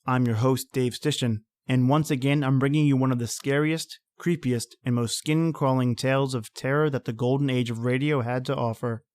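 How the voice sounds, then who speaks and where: A man's voice: mid-range voice, steady tone, moderate pace, few pauses, a little mellow. A man hosting a podcast, reading a scripted introduction. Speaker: podcast host.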